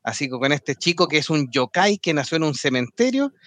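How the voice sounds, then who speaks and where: A man chatting on an anime podcast, his voice sitting a bit high for a man. He rambles, laughs readily and restarts his sentences, recorded close with no room sound. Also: big pitch swings, fairly quick, fairly bright tone, no room sound. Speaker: podcast co-host. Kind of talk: casual anime talk with co-hosts.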